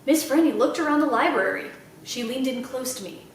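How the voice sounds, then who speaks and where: A woman reading a children's novel aloud as an expressive storyteller. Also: high voice, a little fast, a little hiss or hum. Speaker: library read-aloud storyteller. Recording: very echoey room, slightly distant mic.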